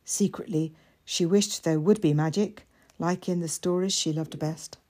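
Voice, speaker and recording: A woman reading a story as scripted narration, in a measured and expressive storytelling manner. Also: lowish voice. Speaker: author reading own fiction.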